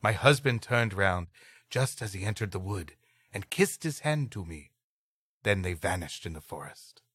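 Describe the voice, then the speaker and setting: Bright, lively male podcast co-host. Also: sits low, moderate pace, slightly rough. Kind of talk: reading an old story aloud, with casual joking banter.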